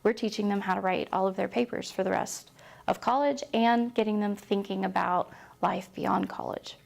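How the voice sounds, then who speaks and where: A woman's voice: medium pitch, moderate pace, vocal fry, faint music behind. A woman professor giving unscripted answers in a news interview. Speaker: university professor.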